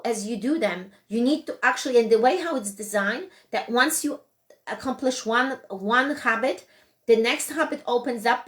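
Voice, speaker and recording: A woman's voice: fairly high pitch, a bit bright. Earnest Polish-accented woman coach. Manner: earnest, repetitive, frequent restarts. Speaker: host and coach. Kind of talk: motivational live stream talk.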